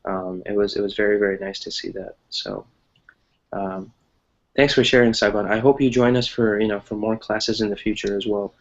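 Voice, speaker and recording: A man's voice: on the low side, steady tone, normal speed, highs cut off. An American man teaching an online English class, explaining conversationally and encouragingly, often checking in as he goes. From United States.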